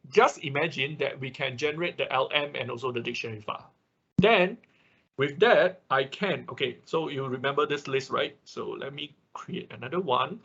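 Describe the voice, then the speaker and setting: A man who speaks English as a second language, giving a live technical tutorial step by step in a halting manner, often checking with short tag words. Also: a little high, a bit bright, breathy edge. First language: not English. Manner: halting, often repeats and checks with okay or right. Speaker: workshop instructor. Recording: dull, lo-fi online class audio.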